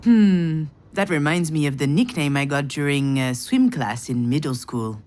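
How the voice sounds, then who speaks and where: An actress playing a bitter, overwhelmed daughter in a visual novel, with a sardonic, dramatic delivery. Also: female voice, low voice, moderate pace, darkish tone, natural voice. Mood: wry, brooding. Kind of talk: visual novel voice acting with inner monologue.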